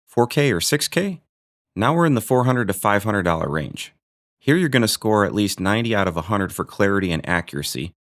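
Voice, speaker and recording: Low-voiced man with dry humor. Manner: dry, joking asides. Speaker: video host reviewing gear. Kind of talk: scripted review narration.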